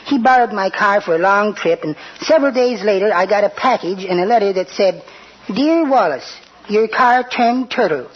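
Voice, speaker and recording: An actor playing a meek, timid, mischievous little husband, polite and hesitant, in a 1940s radio comedy. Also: male voice, high voice, lively intonation, moderate pace. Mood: mild, giggly. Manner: mild, polite, hesitant, giggly. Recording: dull noisy vintage radio, loud audience.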